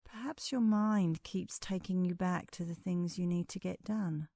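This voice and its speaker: A woman leading a guided meditation as an instructor, speaking in a calm, soothing, measured way with long pauses. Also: lowish voice, no room sound, clean studio sound.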